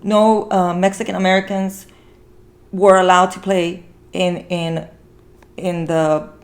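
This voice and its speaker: A woman's voice: lowish voice, little pitch movement, slow. A woman hosting a podcast, chatting casually and earnestly, with an encouraging way of repeating phrases for emphasis. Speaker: podcast host. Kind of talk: casual podcast conversation about a film.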